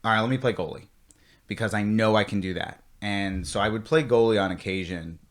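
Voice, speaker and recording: A man from Virginia hosting a podcast, chatty and quick to jump in with quoted asides. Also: lowish voice. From Virginia, USA. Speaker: podcast host. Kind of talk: casual chat.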